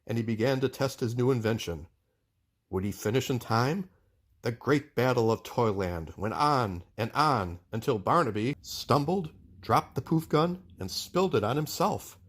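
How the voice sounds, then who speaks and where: A man reads a children's storybook aloud as its narrator. His voice sits at a middle pitch and moves with very lively intonation. The delivery is expressive, scripted storytelling. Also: normal speed, husky. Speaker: storyteller reading a children's book.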